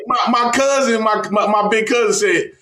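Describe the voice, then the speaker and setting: Man, boastful, animated storyteller, high lively voice, roomy echo. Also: moderate pace. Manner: bragging, laughs often, animated storytelling. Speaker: panel guest. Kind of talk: boastful casual chat.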